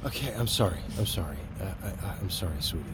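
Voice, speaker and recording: A man's voice: expressive, fast. An actor playing a harried, short-tempered American father in middle age, stammering and evasive as he hides his worry. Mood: apologetic. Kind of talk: naturalistic audio drama acting. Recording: clear car engine rumble behind. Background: car interior, traffic ambience.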